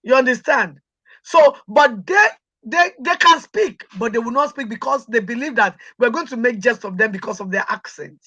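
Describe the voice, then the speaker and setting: Emphatic high bright Nigerian woman. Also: animated, moderate pace, lo-fi sound. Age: middle-aged. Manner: emphatic, proud, repeats for stress. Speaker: guest. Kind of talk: interview conversation.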